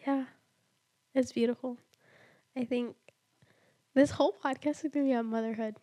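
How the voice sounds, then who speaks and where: A woman's voice: high-pitched, steady tone, warm tone. A woman in her early twenties, a podcast guest, talking about faith and motherhood in a casual way, with lots of "like" fillers and easy laughter. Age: early 20s. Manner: chatty, many "like" fillers, quick to laugh.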